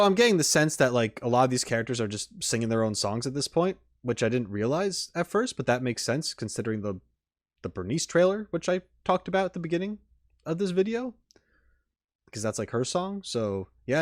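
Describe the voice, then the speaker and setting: A man's voice: on the high side, very animated, a little fast, no echo, clean studio sound. A man doing casual, off-the-cuff reaction commentary, rambling and repeating words as he thinks aloud. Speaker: YouTube reaction host.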